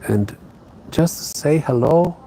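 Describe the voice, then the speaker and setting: Gravelly-voiced man chatting live. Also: on the high side, animated, dark tone, slight background noise, rough recording. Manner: hesitant, halting, many fillers and restarts. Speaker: live streamer. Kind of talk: casual live stream chat. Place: outdoors. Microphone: phone on a tripod.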